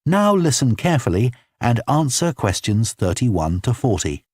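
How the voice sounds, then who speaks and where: A man's voice: medium pitch, big pitch swings, normal speed, a little mellow, breathy edge, dry recording, clean studio sound. A man reading scripted exam instructions as an announcer, in a formal, instructional manner.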